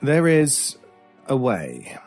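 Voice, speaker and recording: A man acting a mysterious, intense stranger in an old-time radio horror drama, speaking deliberately with theatrical pauses. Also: mid-range voice, huge pitch swings, a little mellow, full character voice. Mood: grave, explanatory. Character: intense mysterious male stranger, brooding paranormal expert. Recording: music under the voice. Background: music.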